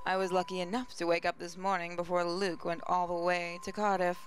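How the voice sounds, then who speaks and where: A woman's voice: on the low side, flat delivery, brisk, a little hiss or hum. A woman reading a visual novel aloud as a first-person narrator, with dramatic storybook delivery. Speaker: gaming channel host. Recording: music playing behind voice. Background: game music.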